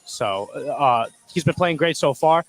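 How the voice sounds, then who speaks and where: A man's voice: on the high side, lively intonation, a little slow, low-quality recording. Casual male basketball colour commentator.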